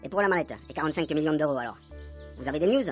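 A man's voice: on the high side, expressive, very fast, faint music behind, natural voice. A man playing an exasperated police commander. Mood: businesslike. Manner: irritable, sarcastic, hesitant when cornered. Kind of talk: comic radio soap acting.

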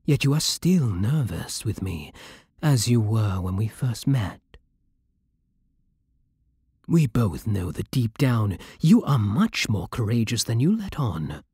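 An intimate ASMR vampire king, performed by a man, British and possessive. His voice is very breathy and soft, close and dry. He speaks with hypnotic, commanding tenderness, slowly drawing out his words. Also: on the low side, no room sound, exaggerated voice. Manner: slow, hypnotic, imperious endearments.

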